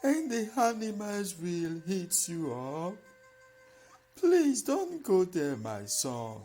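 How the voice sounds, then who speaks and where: A woman voicing an anxious widowed mother in a folktale, imploring her only son in a drawn-out, tearful way. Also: lowish voice, very animated, warm tone, soft background music, pitched above the performer's own voice, full character voice. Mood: worried, pleading. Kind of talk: folktale storytelling.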